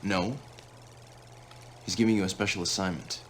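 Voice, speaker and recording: An actor playing an authoritative, serious military commander who mentors a recruit in a scripted game cutscene, speaking firmly. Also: male voice, sits low, fairly even intonation, normal speed, slight background noise, natural voice. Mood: grave, serious. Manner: firm, instructing. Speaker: commanding officer.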